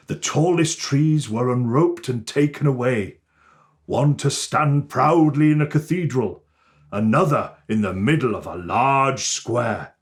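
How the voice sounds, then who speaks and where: Casting: male Father Christmas storyteller, very unhurried, theatrical, with widely varied intonation for children. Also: medium pitch. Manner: theatrical, deliberate, expressive phrasing.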